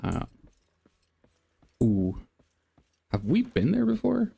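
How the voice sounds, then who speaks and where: A man's voice: a little high, huge pitch swings, slow, creaky, warm tone, slightly rough. A man live-streaming a game, commenting casually and reading game text aloud.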